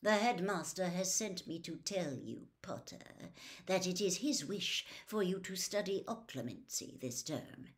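A woman plays a sneering wizard schoolmaster in a storybook reading. The voice is low and quiet, smooth and sometimes breathy. Each barbed word is placed with slow, cold condescension. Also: expressive. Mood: smooth, condescending. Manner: slow, smooth, waspish, pausing before barbed words. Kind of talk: audiobook character voice.

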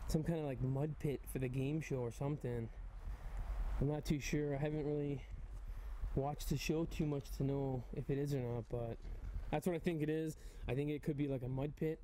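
A man's voice: a little high, steady tone, normal speed. Chatty man vlogging outdoors, noisy recording. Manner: chatty, addresses viewers as guys. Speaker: urban explorer vlogger. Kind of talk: casual vlog narration. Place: outdoors at an abandoned game show set. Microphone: handheld camera mic. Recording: loud background noise.

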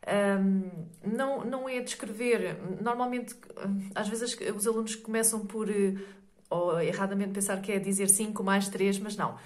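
Hoarse Portuguese woman teaching maths. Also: mid-range voice, steady tone, normal speed, darkish tone, reverberant. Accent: European Portuguese. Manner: patient, explanatory, many fillers and checks like "ok?". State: hoarse from a cold. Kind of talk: explanatory maths lesson.

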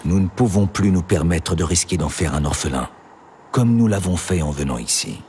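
A man's voice: low-pitched, expressive, moderate pace, noisy background. An actor playing a serious male warrior and father who speaks steadily. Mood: grave.

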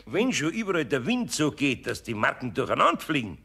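An actor playing a patient, good-natured older Bavarian master craftsman in a children's radio play, with a gravelly, husky voice. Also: mid-range voice, very animated, fairly quick, pushed and bright, heavily acted. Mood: scheming, good-natured.

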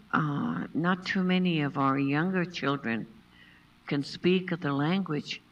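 Older woman, slow and thoughtful, distant. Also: low-pitched, lo-fi sound. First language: Passamaquoddy. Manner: unhurried, pauses to find English words, laughs often. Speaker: traditional basket maker, seminar guest. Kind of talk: telling stories in a seminar talk. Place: lecture room, seated at a table with a panel. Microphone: far room camera. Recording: distant voice in a lecture room.

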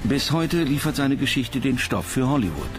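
A man's voice: medium pitch, expressive, moderate pace. A man reading scripted documentary narration as the narrator. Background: music behind the voice.

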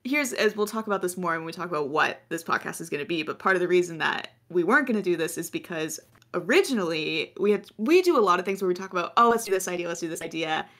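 Low-voiced American woman chatting. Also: expressive, fast talker, a bit bright. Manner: chatty, laughing often, rambling. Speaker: podcast co-host. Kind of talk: casual chat.

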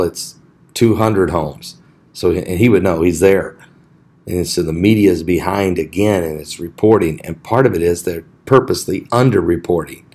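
A man with a very deep, husky, raspy voice hosts a live online show. He talks through the news casually and keeps his voice down. He fills his pauses with ums and uhs. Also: a little mellow. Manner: keeps voice down, hesitant fillers.

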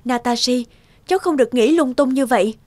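High-voiced, caring psychologist. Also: female voice, brisk, a little mellow, no echo. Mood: concerned. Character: caring female child psychologist, a worried single mother. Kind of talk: cartoon dubbing. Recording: clear music behind the voice.

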